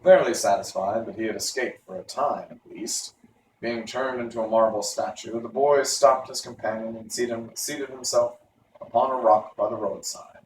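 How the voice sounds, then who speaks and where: A man's voice: mid-range voice, fairly even intonation, normal speed. A man narrating a children's novel as he reads it aloud. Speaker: story reader. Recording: roomy, noisy, distant microphone.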